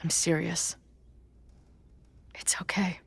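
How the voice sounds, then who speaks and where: A woman's voice: fairly low pitch, level tone, slow, vocal fry, breathy edge, in a whisper, exaggerated voice. An actress playing a weary, wry young woman resigned to death, plain-spoken and sardonic, in naturalistic video game dialogue. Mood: weary, self-sacrificing.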